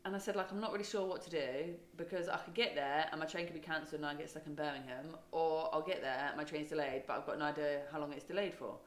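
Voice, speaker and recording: A woman's voice: sits low, steady tone, quick pace, few pauses, reverberant. A podcast co-host, a woman, chatting casually, laughing often and telling rambling stories. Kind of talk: casual chat.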